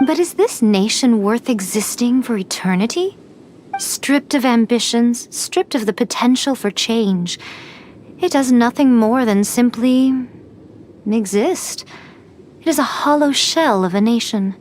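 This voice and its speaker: A woman voicing a sly, teasing immortal fox spirit shrine maiden in an anime fantasy game, with a silky, deliberate delivery. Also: on the high side, animated, a little mellow, a little hiss or hum. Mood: coolly provocative.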